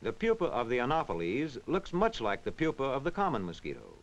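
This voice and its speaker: A man reading scripted educational narration in a measured, instructional, clearly enunciated way. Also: mid-range voice, huge pitch swings. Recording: dull, band-limited vintage film soundtrack.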